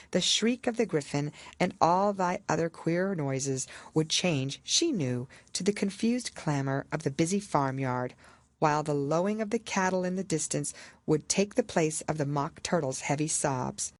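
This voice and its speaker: Expressive woman reading a storybook. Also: sits low, dry recording. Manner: expressive, measured literary reading. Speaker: audiobook narrator. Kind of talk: scripted storybook narration.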